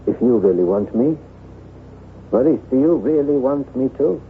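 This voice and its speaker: An actor playing a lonely, self-loathing aristocratic former soldier in theatrical, formal, halting radio drama style. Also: male voice, medium pitch, expressive, natural voice. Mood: tentatively hopeful. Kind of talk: old-time radio drama acting. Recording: tinny band-limited vintage radio, hissy.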